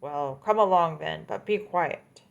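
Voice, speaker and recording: A woman's voice: deep voice, a little slow, creaky, muffled recording. A woman voicing an eager, forgetful male coyote in a children's story, pleading and grumbling by turns.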